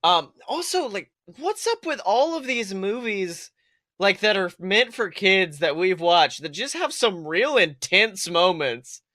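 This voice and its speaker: A young man co-hosting a podcast in casual comedic chat, riffing in an animated, profane way. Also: sits high, a little slow, no room sound, studio quality. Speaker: podcast co-host.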